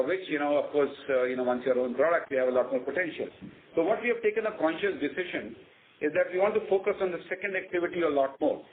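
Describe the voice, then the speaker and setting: A man with an Indian accent answering as a company executive on an earnings call, explaining in a measured, confident way. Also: on the high side. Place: conference phone call. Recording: narrow phone line with faint noise.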